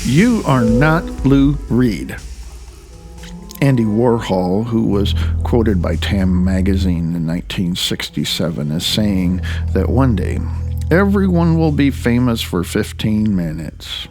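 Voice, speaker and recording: A man from the United States reading his own book as an author, in a halting, opinionated way with loose spoken asides. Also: medium pitch, darkish tone. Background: faint music.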